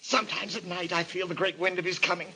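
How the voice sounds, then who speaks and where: An actor playing a mad murderer who believes a destroyer god possesses him, fervent and theatrical, in old radio mystery style. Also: male voice, sits high, steady tone, sharp tone, a little hiss or hum, full character voice. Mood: fearful. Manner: fervent, theatrical, halting when afraid.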